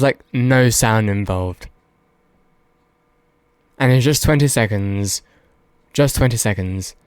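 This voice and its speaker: A man's voice: medium pitch, clear, resonant tone, no echo. A man giving casual, unscripted video commentary, rambling and hedging as he goes and repeating words for emphasis. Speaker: video host.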